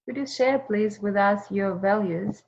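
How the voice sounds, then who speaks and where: A woman's voice: mid-range voice, a little slow, darkish tone, muffled recording. A woman interviewer asking polite, encouraging questions in tentative phrasing.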